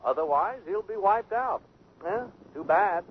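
A man's voice: high-pitched, lively intonation, a little hiss or hum. A man playing an urgent, anxious lawyer in a radio drama. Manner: urgent, hurried reports. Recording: dull old radio broadcast.

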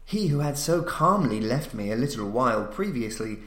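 A man's voice: mid-range voice, big pitch swings, normal speed, fairly bright tone. A man reading a gothic horror story as a dramatic audiobook narrator, solemn and suspenseful. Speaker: narrator, podcast reader. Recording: roomy, echoing voice recording.

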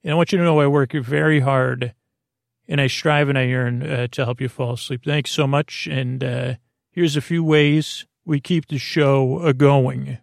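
A man hosting a bedtime podcast in a creaky voice, rambling in a soothing, halting, meandering way with casual friendly banter. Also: medium pitch, steady tone, no room sound, studio quality. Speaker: podcast host.

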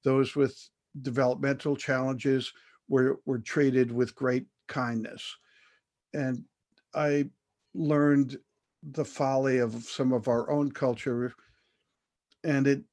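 An older man telling his life story as an interview guest, measured and thoughtful, with frequent fillers and restarts. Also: medium pitch, steady tone, a little mellow.

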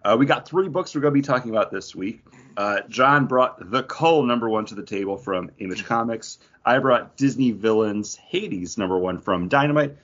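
A man's voice: mid-range voice, moderate pace, a bit bright. A man hosting a podcast, chatting casually about comic books. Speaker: podcast host. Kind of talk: casual comic book discussion. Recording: dull, muffled lo-fi audio.

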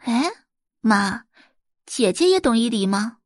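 A woman's voice: on the high side, big pitch swings, takes it slowly, dry recording, clean studio sound, heavily acted. A woman voicing a poised young heiress and doctor who talks sweetly and confidently in an audio drama.

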